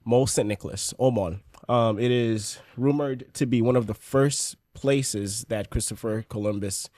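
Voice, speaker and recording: A man's voice: medium pitch, normal speed, darkish tone, dry recording, clean studio sound. Easygoing Haitian-born man telling stories. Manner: laid-back, joking, many fillers. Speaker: podcast guest. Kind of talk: casual storytelling.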